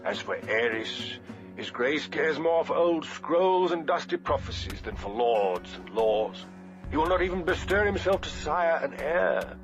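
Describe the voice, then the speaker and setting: A man's voice: on the high side, lively intonation, unhurried, voice pushed up. A man voicing a worldly, gossiping septon who drinks, grave and scornful, in an emphatic, archaic audiobook reading. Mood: scornful, conspiratorial. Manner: theatrical, emphatic, archaic phrasing. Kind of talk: dramatic audiobook character reading. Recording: dull lo-fi audio with music behind.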